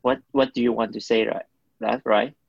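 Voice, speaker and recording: A man's voice: medium pitch, flat delivery, normal speed, creaky, slightly rough, dry recording, dull audio. A man in his twenties from Vietnam with a Vietnamese accent, giving hesitant interview answers while searching for words. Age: 20s. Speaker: interviewee, college student.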